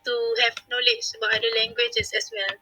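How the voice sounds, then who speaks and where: A woman's voice: on the high side, little pitch movement, brisk, pushed and bright, a little hiss or hum. A young Malaysian woman, a forum panelist, reading a prepared statement steadily.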